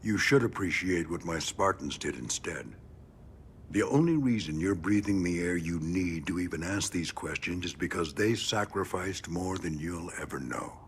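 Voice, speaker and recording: An actor playing a stern older military man in an audio drama, measured and condescending, with a gravelly voice. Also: sits low, a little hiss or hum. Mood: scornful. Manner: slow, measured, menacingly polite.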